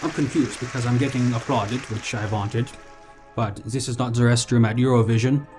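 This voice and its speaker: A man's voice: medium pitch, fairly even intonation, music in the background, crowd noise, natural voice. Man doing a shy Finnish ghost performer. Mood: sheepish. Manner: hesitant, mild, halting. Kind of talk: improv comedy character.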